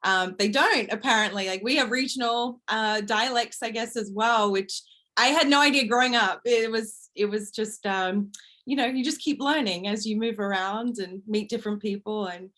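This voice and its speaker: Chatty Australian woman, somewhat high voice. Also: moderate pace, a bit bright. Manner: chatty, many "like" fillers. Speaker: panelist. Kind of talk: casual chat.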